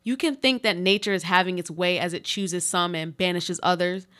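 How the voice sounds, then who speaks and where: A woman playing a desperate, pleading earthquake victim buried alive, in a deliberate, literary radio play monologue. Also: fairly low pitch, fairly even intonation, a bit bright, close to an everyday voice. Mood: anguished pleading. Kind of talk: live radio play monologue, read from a script.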